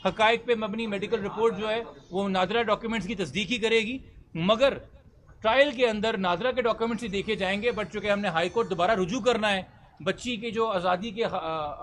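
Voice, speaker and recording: A man's voice: sits high, fairly bright tone, slight background noise. A Pakistani man speaking as a lawyer to reporters, articulate, formal and emphatic, mixing English legal terms into his speech. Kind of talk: press statement answering reporters' questions.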